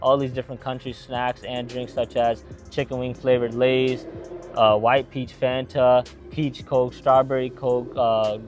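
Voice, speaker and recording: A man's voice: mid-range voice, level tone, unhurried, darkish tone, soft background music. A young man from Atlanta who owns a gas station restaurant, telling its story in a casual interview with eager, rambling answers and many fillers. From Atlanta, Georgia.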